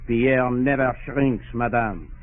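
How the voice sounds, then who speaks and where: An actor playing a polite, earnest French cleaner with a French accent and formal manner in radio comedy. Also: male voice, medium pitch, slow pace, phone-quality sound, natural voice. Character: polite, literal-minded French male cleaner. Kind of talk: 1940s radio comedy acting. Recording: very noisy, thin old radio recording.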